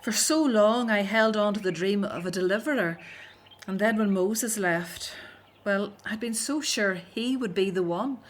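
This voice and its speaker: Actress as devoted grown daughter in radio drama, middle-aged, hopeful but doubting. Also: female voice, medium pitch, measured pace, natural voice. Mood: doubtful, wistful.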